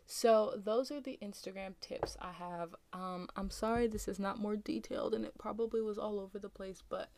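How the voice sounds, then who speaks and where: A young American woman hosting a casual solo podcast, with a slightly raspy just-woke-up voice and a rambling, chatty way of talking full of ums and likes. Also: mid-range voice, steady tone, normal speed, hardly any pauses, a little mellow. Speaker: podcast host.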